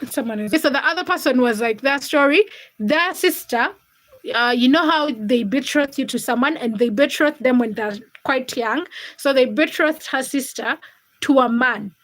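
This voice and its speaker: High-voiced woman hosting a chatty livestream. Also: normal speed, fairly bright tone, low-quality recording. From Uganda and Kenya. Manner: chatty, teasing, addresses viewers by name. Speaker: livestream host. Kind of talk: casual livestream chat reading comments.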